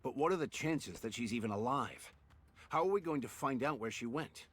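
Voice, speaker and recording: A man voicing a weary but idealistic military commander in a game, husky, grave and measured. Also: mid-range voice, lively intonation, a bit bright, soft background music. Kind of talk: scripted game voice acting.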